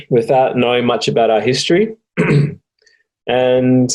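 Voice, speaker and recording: A young man with an Australian accent, speaking slowly and reflectively with many pauses, like an interviewee telling his family's story. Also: mid-range voice, darkish tone, poor audio. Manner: slow and thoughtful, with frequent pauses and fillers. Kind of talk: reflective personal testimony.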